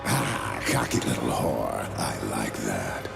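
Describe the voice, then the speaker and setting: Game villain, sneering punk rocker, very gravelly, slow taunts erupting into screams. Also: male voice, very deep voice, huge pitch swings, loud music behind the voice, heavily acted. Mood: leering. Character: sneering, manic punk rock undead villain. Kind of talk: video game villain acting.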